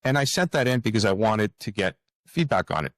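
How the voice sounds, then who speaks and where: Man, fairly low pitch, dry recording, reflective interview guest, hesitant, repeats himself. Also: sing-song, fairly quick, no room sound. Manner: hesitant, repeats words and phrases, earnest. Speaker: author, podcast guest.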